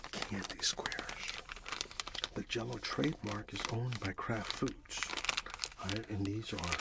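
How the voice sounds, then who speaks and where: A middle-aged man in an ASMR roleplay as a friendly candy seller, speaking softly and half in whispers to a customer, close to the mic. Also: fairly low pitch, creaky, very noisy. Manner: soft-spoken, half-whispered, unhurried, friendly shopkeeper patter. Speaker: ASMR performer playing a candy shop keeper. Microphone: close mic, facing the camera at close range.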